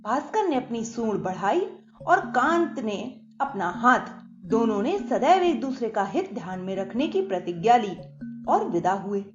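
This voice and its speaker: Female children's storyteller: high, expressive narration, slightly dull echoey recording. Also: measured pace. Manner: expressive, dramatised reading. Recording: echoey dull recording under loud music.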